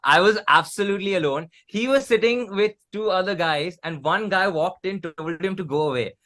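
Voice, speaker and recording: An Indian man in his early thirties talks casually in an interview. His voice sits on the high side, and he circles through his thoughts with repeated words and frequent filler "like"s. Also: fairly bright tone. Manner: halting, repeats words, says "like" a lot. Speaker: musician, band member being interviewed. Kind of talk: casual chat.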